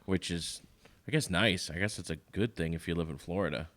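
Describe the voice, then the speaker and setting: Guy with a lowish cutting voice, podcast cohost, loose rambly chat. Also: a little fast, fairly bright tone. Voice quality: says his voice is cutting and carries. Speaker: podcast co-host. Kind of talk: casual chat. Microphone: headphones and studio mic.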